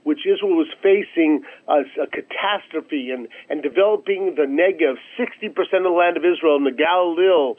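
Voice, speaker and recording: A man answering as an executive guest in a radio interview, halting at times and repeating phrases for emphasis. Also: a little high. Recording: narrow, tinny phone line.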